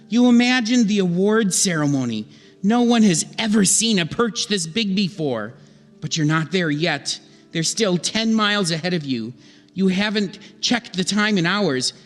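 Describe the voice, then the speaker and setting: A man narrating a comic sketch theatrically in old-time radio style, on a reverberant theatre stage. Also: sits high.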